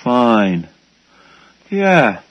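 A man playing a groggy, confused dental patient, halting and vague, in a 1980s radio drama. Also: medium pitch, sing-song. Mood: dazed. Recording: dull old radio recording.

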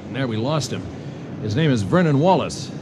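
A man's voice: medium pitch, expressive, a little fast, natural voice. An actor playing a blunt, earnest junior Coast Guardsman in a radio drama. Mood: businesslike. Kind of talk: 1980 radio drama acting. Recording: noisy radio drama with outdoor ambience.

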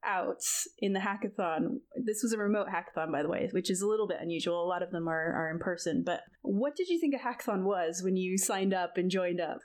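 A woman from California hosting a casual podcast chat over a remote call, chatty and quick to laugh. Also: on the low side, darkish tone. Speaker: podcast host.

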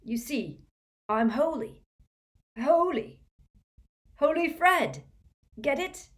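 A woman's voice: high voice, very animated, slow pace, voice pushed up, heavily acted. Cheeky wounded young man, played by a woman, faint murmur, mischievous storybook joker. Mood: weakly joking. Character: injured young man, weakly joking, cheeky.

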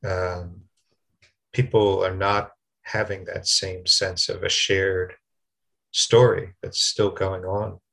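A man with a very low, gravelly voice asks his questions at a measured, thoughtful pace. His tone is reflective, and he sounds like an experienced interviewer. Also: flat delivery, pushed and bright.

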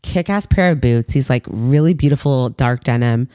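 A young woman host with a low voice chats enthusiastically and peppers her questions with fillers. Her voice sounds close and dry. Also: moderate pace, mellow, clear, resonant tone, no room sound. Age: young adult. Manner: chatty, enthusiastic, filler-heavy. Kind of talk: casual interview chat.

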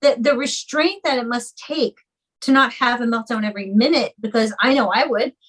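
A woman's voice: fairly high pitch, normal speed, a bit bright, slightly rough. A woman who is a mother and singer, speaking earnestly and from the heart as a guest in an interview, in long flowing runs. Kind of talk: personal interview conversation.

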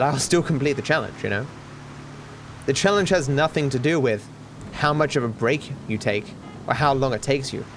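Tired man with a cultivated Australian accent. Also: on the high side. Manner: musing, trailing off with fillers and restarts. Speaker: streamer answering viewer questions. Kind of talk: casual rambling Q&A.

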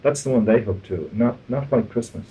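An Irish man interviewing in a conversational style, eager and quick to interject. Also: mid-range voice, mellow, rough recording.

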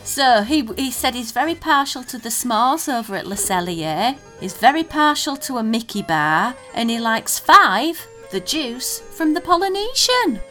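A woman's voice: high-pitched, sing-song, unhurried, few pauses, sharp tone, faint music behind. A woman co-hosting a casual podcast chat, teasing her co-host and reading out listener messages. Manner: chatty, teasing, reads listener tweets aloud.

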